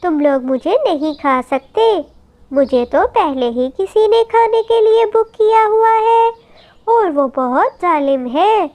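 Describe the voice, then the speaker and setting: A woman's voice: very high-pitched, animated, slow, a little mellow, full character voice. A woman voicing a small, clever mother bird in a children's cartoon, earnest and dramatic as she pleads. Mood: nervous, pleading. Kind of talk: Hindi children's cartoon story acting.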